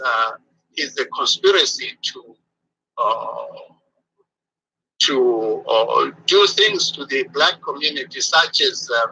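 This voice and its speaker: A man's voice: medium pitch, bright, forceful tone, slightly rough, rough recording. A man in his sixties from Zimbabwe, a physician answering interview questions in a hesitant way with many fillers and restarts. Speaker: physician guest.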